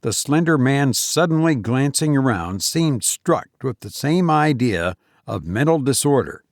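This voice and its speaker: A man's voice: mid-range voice, expressive, unhurried, dry recording. Man narrating a story, dry close sound. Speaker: audiobook reader. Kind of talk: scripted storytelling narration with character voices.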